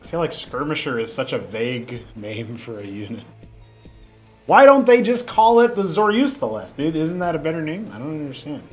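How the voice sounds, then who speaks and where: A man's voice: a little high, sing-song, moderate pace, soft background music. A young man chatting casually on a livestream, rambling with fillers and restarts, with a lingering cough. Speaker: streamer.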